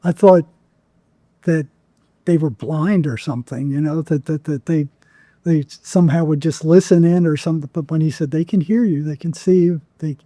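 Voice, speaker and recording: A man's voice: fairly high pitch, moderate pace, mellow, dry recording, studio quality. A man telling stories as an interview guest in a conversational, rambling way, often acting out quoted dialogue. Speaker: podcast guest, psychotherapist.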